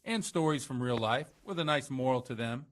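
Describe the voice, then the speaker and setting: An actor playing a worldly, roguish village priest with a theatrical streak in a medieval video game. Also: male voice, mid-range voice, brisk, lightly acted. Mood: chatty, amused. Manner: conversational, theatrical when preaching. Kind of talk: dramatic video game dialogue.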